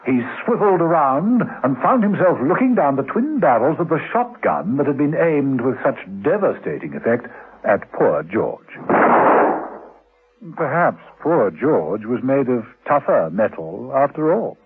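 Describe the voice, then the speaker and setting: A man's voice: mid-range voice, sing-song, measured pace, background noise. A man narrating a scripted radio drama in a theatrical, wry storytelling manner. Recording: tinny, band-limited vintage radio recording.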